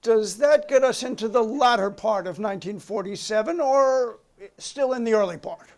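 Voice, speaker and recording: Man playing a high-pitched, methodical committee counsel. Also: moderate pace, a little mellow. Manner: formal, procedural, insistent on exact dates. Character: methodical, persistent male committee counsel questioning a witness. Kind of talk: dramatic reading of a hearing transcript.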